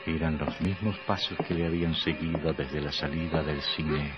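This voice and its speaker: A man's voice: very deep voice, flat delivery, normal speed. A man narrating a horror radio play in a theatrical, ominous, suspenseful manner. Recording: dull lo-fi old radio recording. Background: dramatic music.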